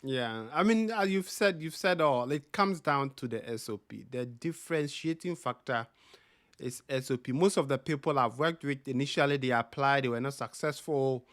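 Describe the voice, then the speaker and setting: A man hosting an interview, speaking in a measured, thoughtful way with frequent fillers. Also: medium pitch, fairly bright tone, no echo, clean studio sound. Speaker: podcast host. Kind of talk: interview conversation.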